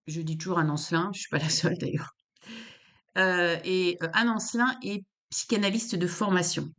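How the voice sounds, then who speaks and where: A woman's voice: on the low side, fairly even intonation, moderate pace, a bit bright. A woman from France, a psychopractitioner explaining psychology in an informal talk to camera, hesitant with many fillers and repeated words.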